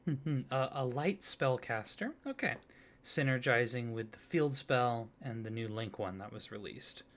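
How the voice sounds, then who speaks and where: A soft-spoken man doing an ASMR roleplay, playing a polite office clerk who talks the listener through a form. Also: mid-range voice, measured pace. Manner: soft-spoken, polite customer-service patter.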